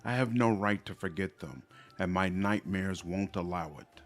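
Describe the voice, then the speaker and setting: A man voicing a reformed female demon from a fantasy game, earnest, gentle and measured. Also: low-pitched, soft background music, pitched below the performer's own voice, natural voice. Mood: remorseful.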